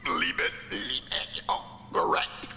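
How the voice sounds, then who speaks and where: An actor playing an eerie insect-like moon creature that speaks telepathically in a slow, chanting, creaky whisper with long reverb. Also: male voice, bright, forceful tone. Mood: eerie, insistent. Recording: long reverb with faint noise.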